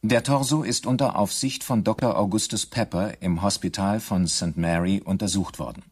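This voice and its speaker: Casting: official report reader, lowish husky voice, matter-of-fact, precise, sober, radio drama. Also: male voice, normal speed. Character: sober male official reporting police findings. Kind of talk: formal reading of an official report.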